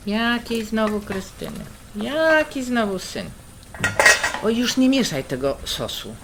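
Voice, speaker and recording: A woman playing a brisk, teasing, worldly middle-aged sister in a naturalistic radio drama, blunt and bossy. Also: fairly high pitch, big pitch swings, heavily acted. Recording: clear kitchen noise and clatter. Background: cutlery, stirring, pots and pans.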